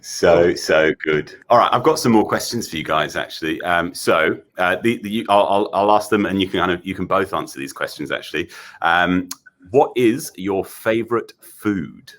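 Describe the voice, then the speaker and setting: A man's voice: low-pitched, normal speed, fairly bright tone. A man hosting a casual interview for children, asking the questions.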